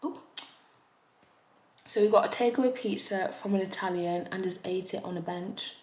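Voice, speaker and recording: A woman, chatting in a casual vlog while doing her makeup. She rambles with lots of fillers and gets animated when she talks about something that surprised her. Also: medium pitch, moderate pace, echoey room. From Leeds, northern England. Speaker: vlogger.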